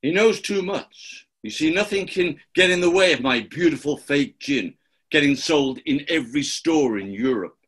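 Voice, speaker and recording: A man playing a pompous, gloating gangster boss with a gravelly voice in a radio play. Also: a little high, animated, fairly bright tone. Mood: smug, menacing. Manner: slow, grandiose, theatrical. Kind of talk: 1940s-style radio play acting.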